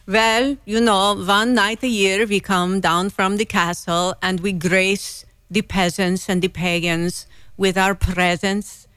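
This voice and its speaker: A woman's voice: mid-range voice, level tone, slow pace, fairly bright tone, clear, resonant tone, dry recording. A woman voicing a theatrical vampire from a castle, drawling in a thick put-on Transylvanian accent. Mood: haughty. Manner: drawling, grand, mock-menacing. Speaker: singer in a comedy band.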